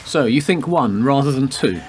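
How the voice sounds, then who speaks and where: An actor playing a teasing, down-to-earth detective sergeant in a radio play, matter-of-fact and bantering. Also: male voice, mid-range voice, expressive, moderate pace, close to an everyday voice. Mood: analytical. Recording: noisy amateur recording with background ambience.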